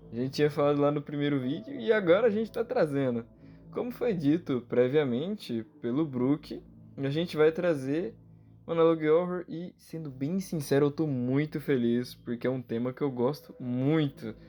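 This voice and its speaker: A man's voice: fairly high pitch, animated, measured pace, dark tone. A man with a Brazilian accent chatting casually and slangily to viewers as a channel co-host. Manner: informal, slangy. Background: background music.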